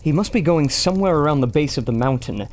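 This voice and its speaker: A man's voice: fairly high pitch, animated, fast talker, darkish tone, close to an everyday voice. A man playing a polite, modest, youngish scholar adventurer in a pulp audio drama, speaking in a courteous, earnest way.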